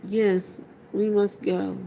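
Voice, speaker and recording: Authoritative male wizard for a storybook female reader, formal, unhurried, gravelly, light background noise. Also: mid-range voice, little pitch movement, warm tone, poor audio. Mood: firm. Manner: measured, formal.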